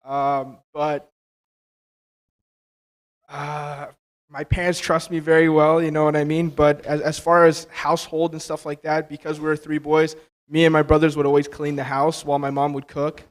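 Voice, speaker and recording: A man's voice: fairly high pitch, level tone, moderate pace. An eighteen-year-old man speaking casually as a panelist, with fillers like "you know what I mean". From Albanian household.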